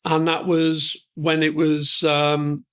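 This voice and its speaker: A man's voice: a little high, completely flat delivery, takes it slowly, a bit bright, clear, resonant tone. A middle-aged man with a northern English accent, telling rambling, self-deprecating stories as a podcast guest. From northern England. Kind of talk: conversational storytelling.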